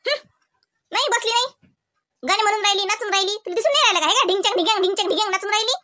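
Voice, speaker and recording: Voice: extremely high pitch, very fast, very noisy. A performer voicing a nosy, suspicious village aunt in a comedy cartoon, nagging with pointed questions in a rural Vidarbha accent. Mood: indignant. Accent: rural Maharashtra, Vidarbha.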